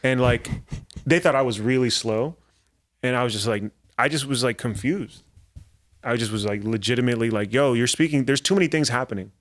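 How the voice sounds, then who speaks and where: A man's voice: mid-range voice, fairly quick. A man guesting on a podcast as a comedian, with a voice that sits right in the middle for a man. He rambles through stories, stacks up fillers and restarts, and keeps things casual. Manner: rambling, loose storytelling with many fillers.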